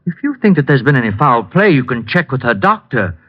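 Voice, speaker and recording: An actor playing a composed, evasive husband under suspicion in a 1950s radio drama, explaining himself carefully. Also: male voice, medium pitch, big pitch swings, fairly quick. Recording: dull lo-fi old radio broadcast.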